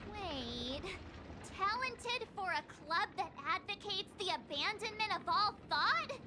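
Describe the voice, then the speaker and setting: A woman's voice: extremely high pitch, lively intonation, takes it slowly, heavy background noise, exaggerated voice. A chirpy naive young woman. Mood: flustered. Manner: flustered, earnest. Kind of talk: video game voice acting. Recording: game audio with loud music.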